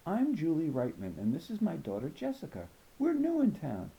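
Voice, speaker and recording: A man's voice: fairly high pitch, wildly swooping pitch, normal speed, vocal fry, dull audio, voice pushed up, exaggerated voice. A man voicing a friendly, polite mother who is new in town.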